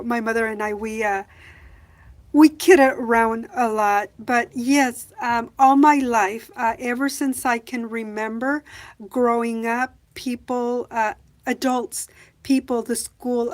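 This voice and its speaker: A woman hosting a casual livestream who speaks with a calm voice, reading viewer comments and chatting with many hesitations and fillers. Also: a little high. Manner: slow, soft-spoken, many hesitations. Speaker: livestream host.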